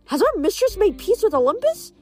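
A woman's voice: extremely high pitch, very animated, fairly quick, pitched above the performer's own voice. A woman voicing an older female vampire demon in a fantasy story, indignant, growling and shrieking.

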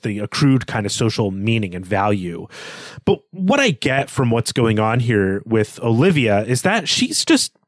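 A man's voice: mid-range voice, no room sound. Analytical man discussing literature, dry close sound. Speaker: podcast co-host.

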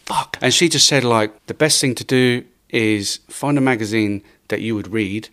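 Casting: middle-aged man from Wales, lowish voice, loose and sweary interview guest who acts out quotes. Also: moderate pace, fairly bright tone. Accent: English, lost Welsh accent. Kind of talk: casual interview storytelling.

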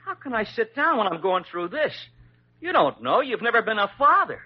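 Vintage radio expectant father: young, jittery, eager, high voice jumping around, dull recording. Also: sing-song, moderate pace, husky, full character voice. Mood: flustered. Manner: anxious, flustered, earnest. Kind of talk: 1940s radio comedy-drama acting.

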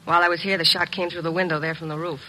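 An actress playing a tough, mercenary young Broadway dame, terse and sardonic, in 1950s radio drama style. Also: female voice, lowish voice, little pitch movement, very fast, sharp tone, poor audio. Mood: sardonic, defensive. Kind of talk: 1950s radio detective acting.

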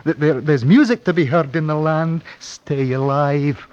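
An actor playing a proud, brooding young Scottish prisoner in an old-time radio drama, terse and lilting. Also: male voice, a little high, measured pace, a little mellow, close to an everyday voice. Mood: pleading. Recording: muffled vintage radio recording.

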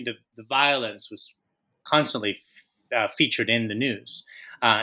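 A man in his thirties from Colombia, a guest author answering interview questions with frequent pauses and restarts. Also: mid-range voice, sharp tone, poor audio. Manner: hesitant, many fillers and restarts.

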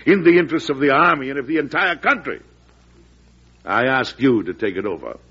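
An actor playing a beleaguered, resolute middle-aged American president in a classic radio drama, speaking formally and earnestly with an American accent. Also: male voice, mid-range voice, expressive, brisk, fairly bright tone, breathy edge. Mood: resolved. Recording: muffled old radio recording.

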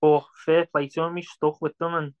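A man joining a casual sports podcast from home, giving measured, plain opinions. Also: on the high side, level tone, clear, resonant tone, no room sound. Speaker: podcast guest. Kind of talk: casual chat. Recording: dull lo-fi remote line.

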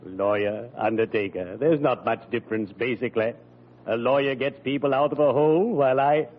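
An actor playing a pompous, mock-solemn undertaker in old radio comedy, speaking formally with dry wit. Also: male voice, mid-range voice, normal speed, close to an everyday voice. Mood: grave, droll. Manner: formal, pompous, punning delivery. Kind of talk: 1940s-50s radio comedy acting. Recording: muffled, noisy old radio recording, hum.

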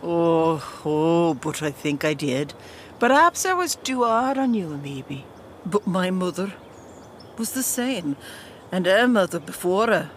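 Woman doing a stately queen mum, formal and unhurried, stern but regretful, fantasy audio play, slight noise. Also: fairly low pitch, animated. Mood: pained. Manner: formal, measured, regal. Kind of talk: scripted fantasy audio drama acting.

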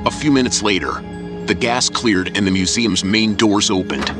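Low-pitched male narrator over loud music. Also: moderate pace. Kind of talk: scripted story narration. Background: loud dramatic music.

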